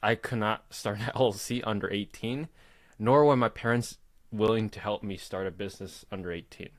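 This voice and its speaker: A young man in his early twenties hosts a casual live question-and-answer stream, telling his story in a loose, rambling way. His voice sits on the low side. The sound is dry and close, with no room around it. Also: moderate pace, no echo. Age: 20s. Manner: relaxed, rambling with fillers and laughs. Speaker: host.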